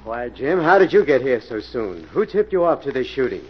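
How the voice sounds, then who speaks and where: A man's voice: mid-range voice, big pitch swings, normal speed, loud music behind the voice, lightly acted. Man playing a businesslike police inspector, muffled recording. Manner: plainspoken, businesslike. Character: gruff but reasonable male police inspector. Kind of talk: 1940s radio acting. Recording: muffled old radio recording.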